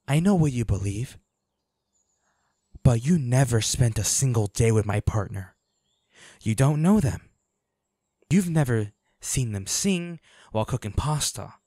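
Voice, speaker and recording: A man's voice: medium pitch, mellow, no echo, clean studio sound. A man playing a protective, devoted hunter boyfriend in a dramatic roleplay audio, speaking earnestly and intensely. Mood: angry, menacing.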